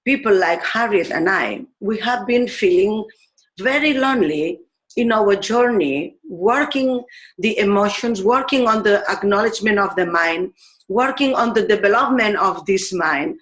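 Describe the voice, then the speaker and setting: A woman in her fifties from Spain with a Spanish accent, a teacher explaining ideas in a thoughtful way, pausing often with fillers. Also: mid-range voice, lively intonation, a bit bright. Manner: thoughtful, pauses with many fillers. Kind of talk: explanatory talk on pedagogy.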